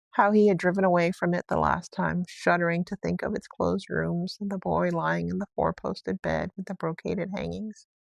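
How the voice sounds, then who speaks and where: A woman's voice: fairly low pitch, creaky, no echo. A woman narrating a classic storybook as a bedtime read-aloud. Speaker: story reader.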